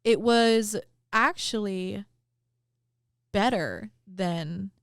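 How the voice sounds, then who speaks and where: A woman's voice: medium pitch, very slow, creaky, smooth, no echo, clean studio sound. A young American woman co-hosting a podcast, chatting casually and informally with some swearing. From south of Boston, US. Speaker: podcast co-host. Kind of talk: casual chat. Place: studio.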